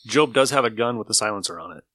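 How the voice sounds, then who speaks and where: A man's voice: on the low side, expressive, quick pace, fairly bright tone. A man in casual chat, laid-back and agreeable.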